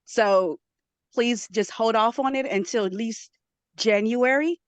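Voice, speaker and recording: A woman's voice: on the high side, takes it slowly, no echo. Emphatic woman club president on a call. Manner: emphatic, advocating. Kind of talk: speaking at an online meeting. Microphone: video call.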